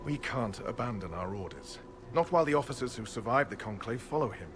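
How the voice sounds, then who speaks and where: An actor playing an earnest, duty-bound Templar knight, speaking formally and gravely in a video game cutscene. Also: male voice, mid-range voice, lively intonation, brisk, noisy background, close to an everyday voice.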